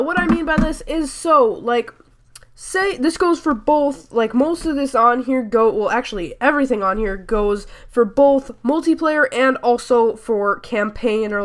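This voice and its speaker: A young woman with a stuffy nose and sore throat, rambling through a chatty gaming voice-over full of fillers and self-corrections. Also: high voice. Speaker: gaming YouTuber. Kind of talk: casual voice-over commentary.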